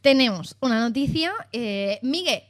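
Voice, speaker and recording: A woman's voice: fairly high pitch, animated, measured pace, dry recording. A woman with a Spain Spanish accent co-hosting a casual podcast, cheerful and agreeable. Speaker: podcast co-host.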